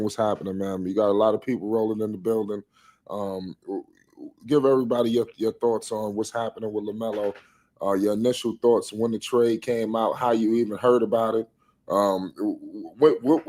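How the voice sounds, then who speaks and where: A man's voice: fairly low pitch, a little fast, warm tone. A man hosting a sports stream in casual, slangy talk, often stammering into his phrases.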